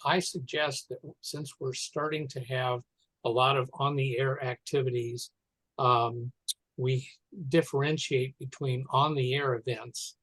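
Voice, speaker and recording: A man making suggestions in an online meeting in a deliberate, halting way. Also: mid-range voice, a bit bright, no echo, rough recording. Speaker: meeting participant. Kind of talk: informal meeting discussion. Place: online conference call.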